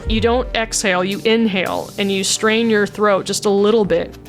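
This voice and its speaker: A woman's voice: mid-range voice, steady tone, moderate pace. Candid woman chatting over music. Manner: candid, self-critical, mildly sweary. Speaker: video creator, voice modder. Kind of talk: casual behind-the-scenes commentary. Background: background music.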